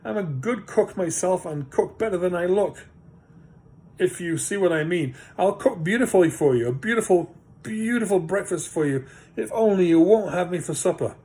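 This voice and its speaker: A man reading aloud and voicing a small, frightened male hobbit who pleads in a high, trembling voice. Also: animated, normal speed, dark tone. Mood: pleading.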